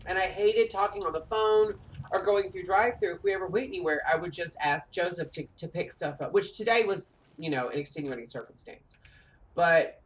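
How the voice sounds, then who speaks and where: A man in his thirties with a light, high voice, telling stories in animated, chatty gameplay talk. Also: brisk, low-quality recording. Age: 30s. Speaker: streamer. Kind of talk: casual gameplay chat. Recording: voice a bit off-mic with faint game music.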